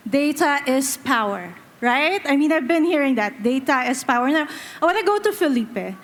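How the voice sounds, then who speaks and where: Upbeat high-voiced woman moderating a panel. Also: lively intonation, moderate pace. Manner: upbeat, enthusiastic. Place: conference stage.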